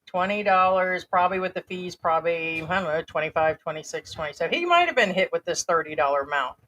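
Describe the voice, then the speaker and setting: A woman's voice: lowish voice, expressive, fast, fairly bright tone, slight background noise, low-quality recording. A woman from the United States co-hosting a casual chat, laughing often and reading a story aloud with comments. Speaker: co-host.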